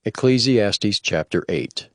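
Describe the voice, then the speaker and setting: A man's voice: fairly low pitch, animated, slow, no room sound, studio quality. A man giving formal, scripted chapter announcements as an announcer.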